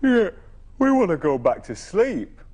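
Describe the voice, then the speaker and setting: A man's voice: sits high, sing-song, measured pace, voice pushed up, heavily acted. A man voicing cross, drowsy little garden animals grumbling in a children's storybook. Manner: grumbling, loud complaint.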